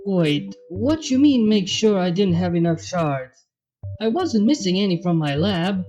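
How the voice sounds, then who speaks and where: A woman's voice: mid-range voice, lively intonation, a little slow, warm tone, lo-fi sound, natural voice. Inquisitive scholarly pony mare voiced by a woman. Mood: suspicious. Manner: questioning. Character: inquisitive, scholarly female pony, suspicious and earnest.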